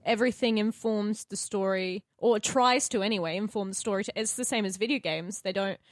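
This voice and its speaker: An Australian woman chats informally with a panel. She is talkative and makes fun of herself in a medium-pitched voice. The sound is dry. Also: brisk, no room sound. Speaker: actor and podcast panellist. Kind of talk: casual group chat.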